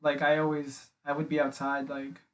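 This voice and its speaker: A young man from the Philippines talks in a small home podcast studio, close on a boom mic. His voice sits a little high and stays flat. He wanders through his points with a lot of filler words. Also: normal speed. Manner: rambling, many filler likes and restarts. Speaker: podcast guest. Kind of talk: casual interview chat. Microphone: studio mic on boom arm, wearing headphones.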